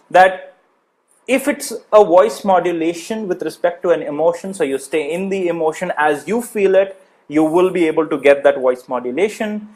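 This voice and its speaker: A man's voice: high voice, darkish tone. A man coaching public speaking in an instructional lecture, explaining points emphatically and checking in with the listener.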